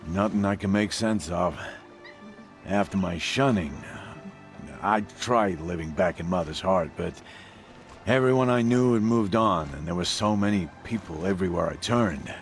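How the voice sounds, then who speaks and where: Low-voiced, wry outcast trader. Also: male voice, normal speed. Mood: weary, reflective. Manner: wry, guarded, dismissive. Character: gruff, world-weary outcast trader, wry loner. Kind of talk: naturalistic video game dialogue acting. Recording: faint music behind the voice.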